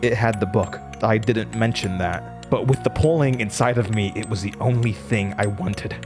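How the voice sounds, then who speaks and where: A man's voice: fairly low pitch, moderate pace, close to an everyday voice. A man playing a shaken young college student, narrating a horror audio drama in a dramatic, haunted way that stammers under stress. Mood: horrified. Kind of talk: horror audio drama first-person narration, acting out dialogue. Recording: music bed under the voice. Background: music.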